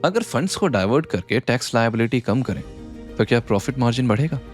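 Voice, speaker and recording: A man playing a calm, confident, quietly stubborn rustic young man in an audio drama, unhurried and wry. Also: mid-range voice, soft background music, natural voice. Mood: composed. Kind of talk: Hindi audio drama acting.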